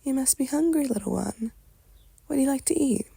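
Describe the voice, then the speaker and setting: Gentle male idol leader read by a woman, soft, breathy, protective, intimate dry ASMR roleplay. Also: high voice, animated, a little fast, no echo, pitched above the performer's own voice, full character voice. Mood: tender, coaxing.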